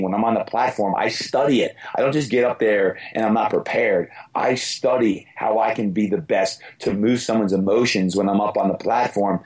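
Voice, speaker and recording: Hoarse male guest, raspy, lower pitch, emphatic motivational delivery. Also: rough recording. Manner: emphatic, motivational, repeats key phrases. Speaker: podcast guest, fitness business coach. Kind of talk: podcast interview answers.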